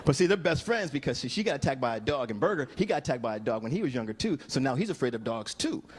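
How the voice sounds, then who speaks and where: A man's voice: mid-range voice, big pitch swings, fast. A man doing a stand-up comedy set on a club stage, telling laid-back stories and acting out quotes, into a handheld mic. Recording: noisy live club recording. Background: audience laughter.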